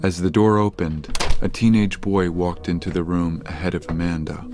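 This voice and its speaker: An actor serving as the narrator of an audio drama, reading scripted scene descriptions. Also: male voice, sits low, steady tone, normal speed, music in the background, close to an everyday voice. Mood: measured.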